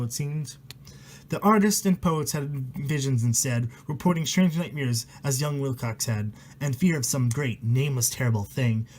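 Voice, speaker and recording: A man with a high-pitched voice reads a storybook aloud. His delivery is theatrical and mock-horrified, moving between narration and loose, crude ad-libbed commentary. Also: a little hiss or hum. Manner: dramatic, mock-horrified, crude swearing asides. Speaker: storyteller reading a book aloud. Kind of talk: storybook reading with casual ad-lib commentary.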